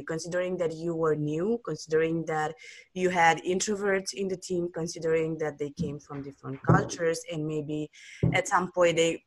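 A woman hosting an interview, asking long, winding questions in an engaged way. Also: low-pitched. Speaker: interview host.

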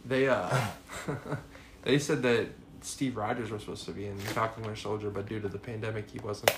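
Excitable young man, casual podcast chat. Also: on the low side, normal speed, noisy background. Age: 20s. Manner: excitable, says "bro" a lot. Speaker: podcast co-host.

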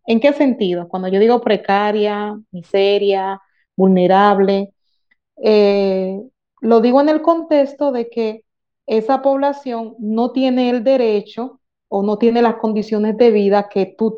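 Dominican female guest speaker, unhurried, halting and emphatic, explaining from experience, slightly dull lo-fi sound. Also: medium pitch. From Dominican Republic. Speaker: guest speaker in a group discussion. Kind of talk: unscripted explanation of lived experience.

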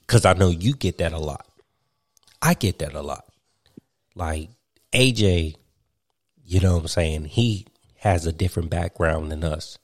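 A young man hosts a loose podcast conversation, rambling through his points with plenty of "um"s and frequent laughs. His voice sits very low, and the room sounds dry and close. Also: a little slow, pauses often, dry recording. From Virginia, US. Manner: rambling, filler-heavy, laughs often. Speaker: podcast host. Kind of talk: casual chat.

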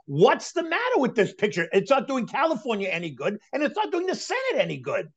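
A man in his sixties talking excitedly and emphatically about markets and aging in a casual chat. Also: extremely high pitch, fairly quick. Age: 60s. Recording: dull lo-fi sound.

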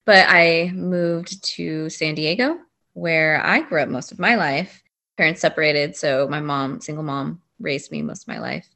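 A woman's voice: deep voice, measured pace, bright, forceful tone. A young woman speaking as a panel guest in a casual, reflective discussion, thoughtful and a little hesitant. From United States. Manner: thoughtful, hesitant, many "I think"s.

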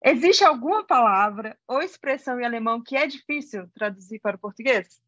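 High-voiced woman, dull dry audio. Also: lively intonation, fairly quick, fairly bright tone, no echo. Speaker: podcast co-host. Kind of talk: interview questions.

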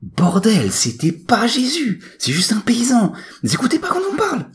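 A man's voice: very high voice, huge pitch swings, moderate pace, pitched above the performer's own voice, exaggerated voice. A man voicing a dim, foul-mouthed young troublemaker, brash, slangy and indignant, in a comic audio story.

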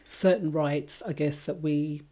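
A woman's voice: low-pitched, fairly even intonation, measured pace, dark tone. A woman from England, co-hosting a casual discussion and speaking reflectively, addressing her listeners directly. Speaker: podcast co-host.

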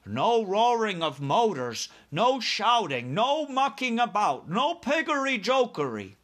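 A man acts out a friendly, earnest giant from a children's storybook. The voice is raised and very animated, with a bright edge. The talk is folksy and muddled, full of playful made-up words. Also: high voice, unhurried, voice pushed up, exaggerated voice. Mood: earnest caution.

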